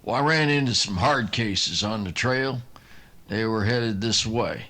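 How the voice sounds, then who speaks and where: A man as a slow-drawling Texan cowboy drifter. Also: on the low side, bright, forceful tone. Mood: earnest warning. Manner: folksy, drawling. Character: easygoing, boastful Texan drifter just sobered up. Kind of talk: old-time radio western acting.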